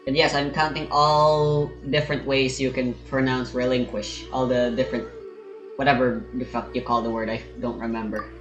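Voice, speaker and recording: A man's voice: medium pitch, flat delivery, normal speed, reverberant, dull audio, over music. A man giving casual, rambling commentary on his own gameplay, swearing now and then and talking to himself. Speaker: gamer streaming his play.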